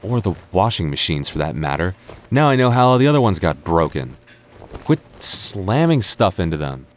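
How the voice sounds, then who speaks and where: A man's voice: low voice, very animated, normal speed. A young man acting as a sarcastic, teasing and stubborn childhood friend in a naturalistic boyfriend audio roleplay. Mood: exasperated. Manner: teasing, sarcastic, stammers when flustered. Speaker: voice actor.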